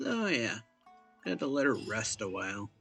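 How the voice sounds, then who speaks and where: A man's voice: fairly low pitch, sing-song, moderate pace, creaky, fairly bright tone, breathy edge, soft background music. A man hosting a gaming video, casually commenting and reading game text aloud in a laid-back way with mumbled asides.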